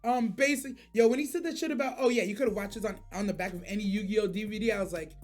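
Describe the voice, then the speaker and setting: A woman hosting a reaction show, giving casual, slangy, animated commentary with swearing. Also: mid-range voice, fast talker, faint music behind.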